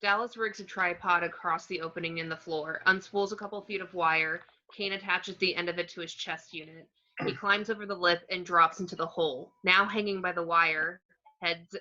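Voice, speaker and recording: Low, bright-toned woman reading stage directions. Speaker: reader of action descriptions. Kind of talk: scripted screenplay narration. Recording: slightly dull home microphone.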